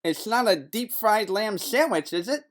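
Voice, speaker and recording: An actor voicing a flippant, wisecracking private detective's sidekick in a comic noir audio drama, joking in deadpan. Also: medium pitch, unhurried, natural voice.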